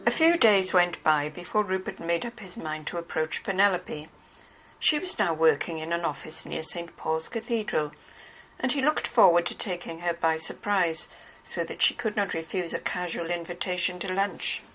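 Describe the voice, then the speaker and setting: A woman serves as narrator for a dramatized novel. She reads scripted literary prose in a slightly low voice. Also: moderate pace, a bit bright, low-quality recording.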